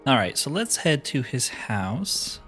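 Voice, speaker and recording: A man's voice: mid-range voice, sing-song, slow, bright, forceful tone, soft background music. A man hosting a let's play with casual, laid-back, rambling commentary. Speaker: host of a gaming series.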